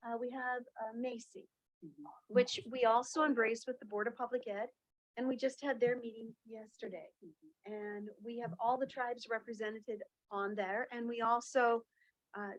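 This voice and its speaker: A woman's voice: a little high, low-quality recording. A woman chairing a meeting, chatty and welcoming, with many fillers.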